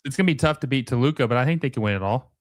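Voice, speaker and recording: A man's voice: mid-range voice, expressive, rapid-fire. The man you would hear on a fan's live watch-along stream, casually calling each touch in short bursts from a dry, echo-free spot. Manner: casual fan-like reactions in short, clipped play-by-play phrases. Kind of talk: casual live match commentary.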